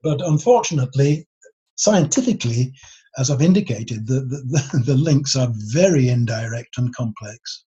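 A man explaining his subject informally as an expert being interviewed, in a husky voice. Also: medium pitch, animated, normal speed, mellow. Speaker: expert interviewee, geology author. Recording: dull, lo-fi sound.

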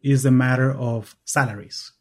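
A man from Venezuela, hosting a podcast interview in an earnest, measured way with some halting restarts. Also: mid-range voice, fairly even intonation, a little mellow, slightly rough. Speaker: podcast host.

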